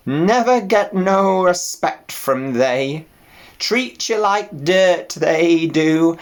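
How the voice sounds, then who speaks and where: A man voicing a mournful old female sheep with a slow, drawling dialect, in an audiobook. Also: a little high, clean tone, pitched above the performer's own voice. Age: older. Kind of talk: audiobook character voice.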